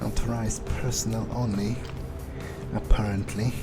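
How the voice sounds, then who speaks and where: A man playing a wary, foul-mouthed vampire in an improvised video game roleplay, thinking aloud in halting, muttered asides. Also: on the low side, flat delivery, loud music behind the voice. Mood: wary, musing. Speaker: gamer in an in-character playthrough.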